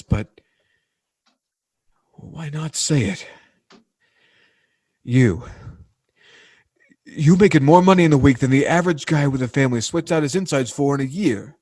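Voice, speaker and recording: An actor playing an earnest, plain-spoken working-class man in 1940s radio drama style, with a North American accent and halting, slangy speech. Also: a little high, a little mellow, slightly rough, no room sound, clean studio sound. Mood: reflective, reluctant, then bitter accusing. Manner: halting, groping for words, slangy American everyman.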